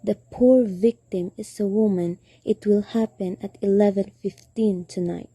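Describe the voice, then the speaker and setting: A woman's voice: medium pitch, steady tone, a little slow, mellow, husky, dry recording. A teenage girl acting an anxious, demanding, sickly married woman in an amateur radio drama. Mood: insistent, exasperated. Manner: insistent, imperious.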